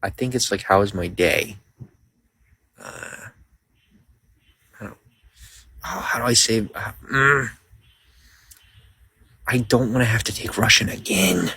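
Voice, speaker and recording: A man's voice: fairly low pitch, slow, gravelly, long pauses, sharp tone, slightly rough. A young man streaming live, rambling casually while greeting viewers by name and reading out their comments. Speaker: livestreamer.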